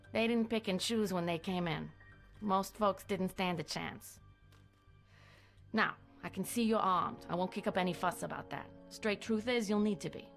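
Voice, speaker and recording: A woman's voice: medium pitch, fairly bright tone, close to an everyday voice. A woman voicing a world-weary small-town American sheriff in a video game, with a folksy, dry, matter-of-fact delivery. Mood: dry, firm. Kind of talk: dramatic cutscene monologue.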